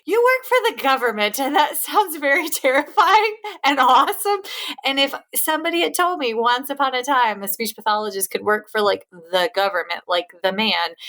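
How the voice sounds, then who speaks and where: Bubbly, laughing woman podcast host. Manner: bubbly, enthusiastic, laughs often; lively, with big pitch jumps. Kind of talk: casual interview chat.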